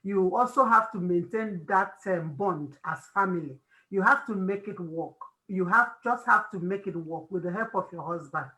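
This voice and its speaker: Bright-voiced Nigerian woman giving advice. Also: on the low side, normal speed, poor audio. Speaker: host of a family discussion. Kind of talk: informal faith-based advice talk.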